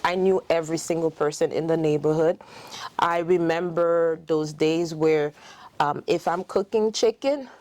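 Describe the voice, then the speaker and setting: A woman's voice: low-pitched, moderate pace, darkish tone, no room sound. A middle-aged Haitian woman whose first language is French, speaking in a Haitian French accent as a dentist and clinic owner in a casual interview, telling her story with many fillers and restarts.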